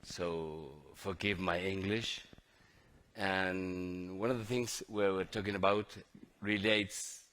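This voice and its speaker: Argentine male moderator, native Spanish speaker, deep voice, halting and searching delivery. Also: fairly even intonation, fairly bright tone. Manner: hesitant, halting phrasing. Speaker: panel moderator. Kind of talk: live panel moderation.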